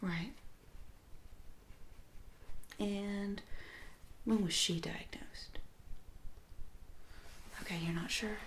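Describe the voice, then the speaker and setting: A woman speaking softly and breathily, often near a whisper, as a doctor gently asking unhurried questions in an ASMR roleplay. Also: medium pitch, fairly even intonation, a little hiss or hum. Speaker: ASMR performer playing a doctor. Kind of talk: soft-spoken ASMR medical roleplay.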